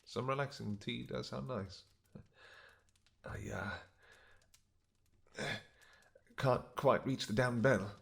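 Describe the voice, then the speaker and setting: A man playing a weary, gentle vampire king who speaks softly and haltingly to his beloved in a romantic fantasy audio roleplay. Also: medium pitch, creaky. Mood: affectionate. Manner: soft, halting, gentle, intimate. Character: weary, tender vampire king, doting on his pregnant wife.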